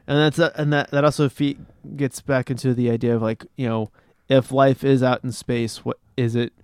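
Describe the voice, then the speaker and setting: A man's voice: mid-range voice, little pitch movement, normal speed, darkish tone, clear, resonant tone, no room sound. A man chatting casually, explaining space topics enthusiastically with frequent restarts. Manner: rambling, restarts often, enthusiastic about space. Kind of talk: casual podcast chat.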